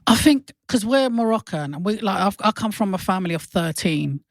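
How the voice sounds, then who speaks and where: A woman's voice: medium pitch, animated, a little fast, no room sound. Woman, forties, blunt and chatty, laughs often, dry close sound. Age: 40s. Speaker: comedian guest. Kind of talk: casual podcast chat.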